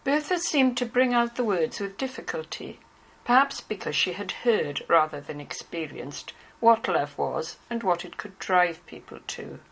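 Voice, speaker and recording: A woman's voice: lowish voice, lively intonation, a little slow, creaky, fairly bright tone, husky, low-quality recording. A woman reading scripted literary narration as the narrator of a dramatized novel.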